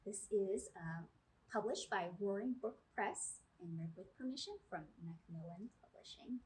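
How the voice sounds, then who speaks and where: A woman's voice: medium pitch, normal speed, a little mellow, a little hiss or hum, poor audio. A woman in her thirties reading aloud to young children, drawing out key words and putting questions to her listeners. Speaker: children's librarian and storytime host. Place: small indoor room with brick wall.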